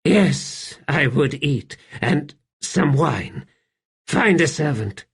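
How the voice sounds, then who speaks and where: A man voicing a young emperor in an audiobook, imperious yet fragile and weary, with a halting, strained delivery. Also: fairly high pitch, expressive, creaky, husky, pitched above the performer's own voice, heavily acted. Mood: brittle, insistent. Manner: halting, strained, swinging between command and fragility. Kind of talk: audiobook character acting.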